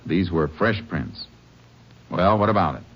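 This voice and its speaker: A man acting a calm, methodical Texas Ranger investigator in an old radio drama, measured and matter-of-fact, with an American accent. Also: low voice. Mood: firm, probing. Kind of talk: 1950s radio drama acting and first-person narration. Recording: muffled vintage radio recording, faint music.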